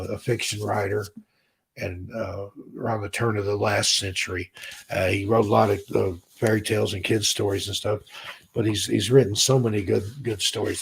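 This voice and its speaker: A hoarse man hosts a laid-back livestream, rambling easily and reading viewer comments aloud. His voice is deep, gravelly and very husky, worn down as he loses it. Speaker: livestream host. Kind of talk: casual chat with viewers.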